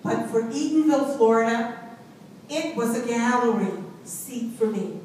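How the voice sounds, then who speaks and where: Echoing distant recording, woman acting a proud playful young Southern woman, high voice, unhurried theatrical monologue. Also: close to an everyday voice. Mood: playful, reminiscing. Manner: theatrical, deliberate, emphatic, expressive. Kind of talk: dramatic monologue performed before an audience. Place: live reading in an echoing indoor venue. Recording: echoey room, distant mic, faint noise.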